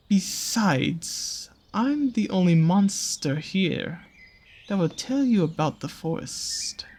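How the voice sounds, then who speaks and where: A performer voicing a seductive, slyly menacing naga monster in an intimate audio roleplay, with a husky, breathy voice and slow, coaxing, teasing phrasing. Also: mid-range voice, a little mellow, full character voice.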